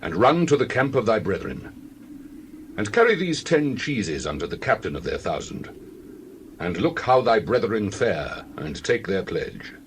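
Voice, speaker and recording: A man's voice: lowish voice, big pitch swings, unhurried, a little hiss or hum, pitched below the performer's own voice. A man playing a father giving instructions in a dramatized scripture reading. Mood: instructing. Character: instructing father of grown sons.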